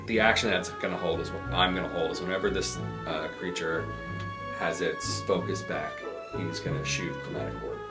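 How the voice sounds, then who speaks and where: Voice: sits low, fairly even intonation, moderate pace. A player in casual tabletop roleplay chat, describing moves in a game. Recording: music behind the voice.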